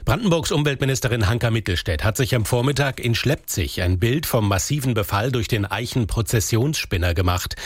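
A man reading the regional radio news in a measured, formal way. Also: mid-range voice, no room sound. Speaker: newsreader.

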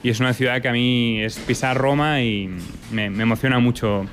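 Lively Italian-Spanish man talking food. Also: mid-range voice, moderate pace, slight background noise. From Italy and Spain. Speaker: restaurant owner. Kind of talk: interview answers.

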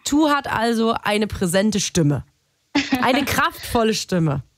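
A woman hosting a casual call, upbeat and encouraging, with relaxed colloquial speech. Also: fairly high pitch, slow pace, no echo, studio quality. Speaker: host.

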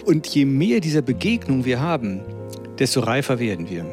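A man's voice: mid-range voice, very animated, over music. Brief: male philosopher, articulate and reflective, mid-range voice. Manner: measured, thoughtful and articulate. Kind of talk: reflective interview answers.

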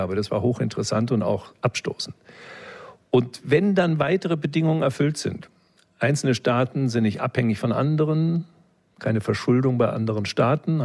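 A man's voice: mid-range voice, a little mellow. A man answering interview questions in a reflective, lecture-like way, explaining like a professor and adding small check-in tags. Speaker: interview guest, philosophy expert.

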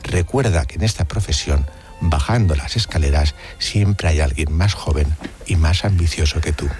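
A man's voice: rumbling low, normal speed, a little mellow. A man chatting about memories in a reflective, confiding storytelling manner on radio. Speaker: radio film contributor. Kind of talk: conversational anecdotes about cinema. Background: background music.

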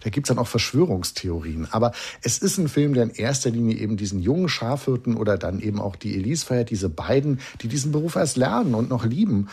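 A man reviewing films in a radio conversation, retelling plots in a lively way with many fillers. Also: medium pitch, fairly quick, hardly any pauses, husky. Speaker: film critic.

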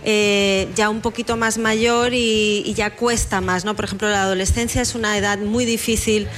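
A woman's voice: medium pitch, level tone, moderate pace, a bit bright, faint music behind. A woman speaking as a guest novelist in an interview, answering conversationally and repeating words for emphasis. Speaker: guest novelist discussing their book.

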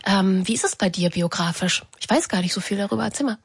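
A woman's voice: medium pitch, fast talker, slightly rough, no echo. A woman in her thirties from eastern Germany, talking personally and reflectively as a radio co-host. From Brandenburg and East Berlin, Germany. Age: 30s. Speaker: radio talk show host. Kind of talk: conversational on-air co-host talk.

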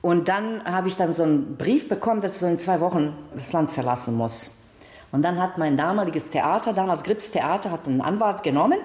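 A woman's voice: on the low side, a little fast, a little mellow. A middle-aged woman from Serbia, a native Serbo-Croatian speaker with a Balkan accent in German, telling personal stories expressively as an interview guest. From Serbia, former Yugoslavia. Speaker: guest, singer, actress and author. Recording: roomy, echoing space.

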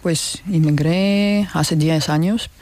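A woman with a Russian accent answering casually as an interview guest, halting, with non-native phrasing. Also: low-pitched, a little mellow, no echo. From North Ossetia, Russia. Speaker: interview guest, community founder.

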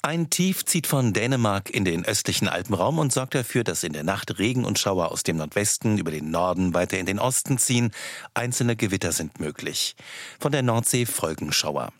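German weather guy, standard accent, low voice, super dry sound, reading the forecast. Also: animated, normal speed, no room sound. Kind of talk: scripted forecast narration.

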